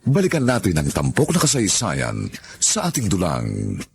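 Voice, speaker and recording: A man narrating a radio drama as its announcer, in a slow, sweeping, deep voice. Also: huge pitch swings, gravelly, slightly rough, full character voice. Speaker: program narrator.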